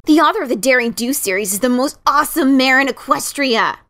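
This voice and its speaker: A woman voicing a cocky, excitable young pegasus mare in a dramatic story reading, boastful and gushing like an adoring fan. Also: high-pitched, fairly quick. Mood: cocky, eager.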